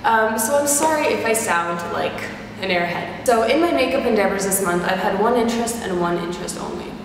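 A woman's voice: mid-range voice, animated, fairly quick. A young woman in her twenties with a stuffy, nasal voice from a sinus infection, chatting bubbly and rambling to camera about her favorite products. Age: 20s. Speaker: beauty and fashion vlogger. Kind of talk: casual chat to camera. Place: large bright loft indoors. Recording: roomy echoey loft, mic far off, noise behind.

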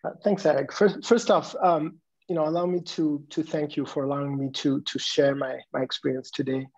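Gravelly, husky man on a video call. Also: a little high, fairly even intonation, darkish tone. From Morocco and Luxembourg. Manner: halting, reflective. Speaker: interview guest, design innovator. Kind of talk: conversational interview answers. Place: room at home. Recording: dull video-call audio.

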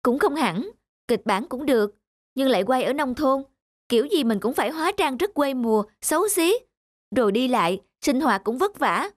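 A woman's voice: high-pitched, expressive, normal speed. A woman voicing an ambitious, fretful young female drama student in a cartoon, speaking expressively. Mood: reluctant. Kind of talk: dubbed cartoon dialogue.

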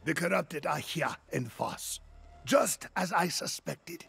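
Gravelly, grave fantasy ally. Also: male voice, a little high, animated, fairly bright tone, full character voice. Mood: relieved, foreboding. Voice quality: gravelly, raspy. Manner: deliberate, ominous, formal. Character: grave, worried, wary ally who fears spreading corruption. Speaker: game character. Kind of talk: fantasy game dialogue.

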